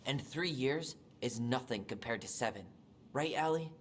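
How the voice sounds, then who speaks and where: Man playing a young adult guy, mid-pitched, blunt, sardonic, resentful, video game acting, long reverb. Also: lively intonation, normal speed, a little hiss or hum, natural voice. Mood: bitter, angry. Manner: terse, sardonic, casual.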